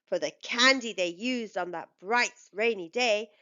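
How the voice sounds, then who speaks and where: A woman reading a rhyming picture book aloud for children in an expressive, deliberate way. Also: medium pitch, dull audio.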